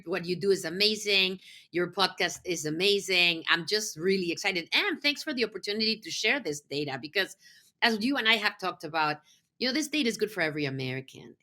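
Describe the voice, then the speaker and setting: Bright-voiced, enthusiastic woman from Mexico City. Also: lowish voice. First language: Spanish. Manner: enthusiastic, emphatic. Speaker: podcast guest, nonprofit executive. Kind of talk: conversational interview answers.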